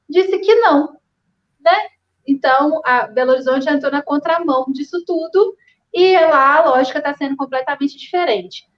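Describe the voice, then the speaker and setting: High-voiced woman from Minas Gerais. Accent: Minas Gerais Portuguese. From Minas Gerais, Brazil. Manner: animated, addresses the audience directly. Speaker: physical education lecturer and live panelist. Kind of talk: casual online panel discussion. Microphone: video call. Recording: lo-fi video call audio.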